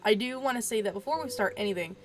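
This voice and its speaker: A woman's voice: medium pitch, quick pace. A woman with a stuffy, allergy-clogged voice chats her way through a game video. She rambles and fills the gaps with fillers, keeping her voice down at home at night. Her mic adds a crackle of static. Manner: chatty, rambling, trails off with fillers; talks quietly so as not to wake others. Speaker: gaming video host. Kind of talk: casual let's-play commentary.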